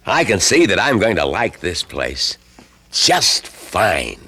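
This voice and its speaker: A charming young newcomer in a vintage radio drama. His deep voice moves up and down in a lively way. He is affable and folksy, with eager courtesy. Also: slow, a little hiss or hum, exaggerated voice. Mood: friendly, ingratiating. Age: young adult. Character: personable, charming young male newcomer seeking lodging. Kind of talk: old-time radio drama acting.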